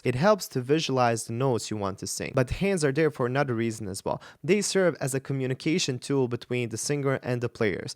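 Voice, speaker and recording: A man's voice: mid-range voice, dry recording, clean studio sound. Chatty man from the Balkans. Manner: chatty, laughs often, halting over unfamiliar words. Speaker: vocal coach and reaction host. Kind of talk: casual reaction commentary. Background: music playing faintly.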